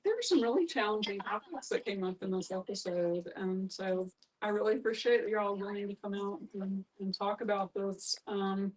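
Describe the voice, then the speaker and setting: A woman's voice: medium pitch, normal speed. A woman facilitating a conversational group discussion, inviting others in with open questions. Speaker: guest facilitator, therapist. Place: live group gathering in a room. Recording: distant, off-mic pickup.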